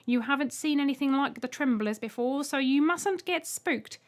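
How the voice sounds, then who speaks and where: Woman acting out a loud, showy little robot guide. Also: high-pitched, moderate pace, pitched above the performer's own voice, natural voice. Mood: warning. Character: small male robot guide, bossy, jumpy, showy.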